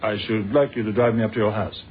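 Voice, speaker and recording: An actor playing a calm, courteous British police inspector, questioning in a deliberate, sceptical way in an old-fashioned radio drama. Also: male voice, lowish voice, breathy edge. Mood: measured, firm. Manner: measured, polite, deliberate questioning. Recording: tinny, noisy old radio recording.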